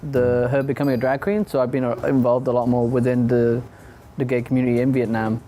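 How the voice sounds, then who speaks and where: A man's voice: medium pitch, level tone, quick pace, mellow, a little hiss or hum. A thirty-year-old man chatting as a talk show guest, hesitant, with restarts and fillers. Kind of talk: casual talk show chat.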